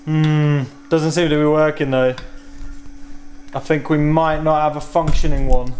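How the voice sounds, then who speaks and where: A British man giving casual, excitable hands-on commentary as he tinkers with gadgets, thinking aloud informally. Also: fairly high pitch, measured pace, clear, resonant tone, soft background music. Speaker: host of a vintage technology museum channel.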